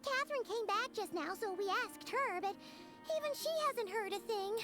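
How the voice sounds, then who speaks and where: A childlike fairy girl in a video game. Her voice is extremely high and squeaky, bouncing with indignant, excitable energy. Music is prominent behind her. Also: normal speed. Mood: chatty. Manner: squeaky, exclamatory, refers to herself by name.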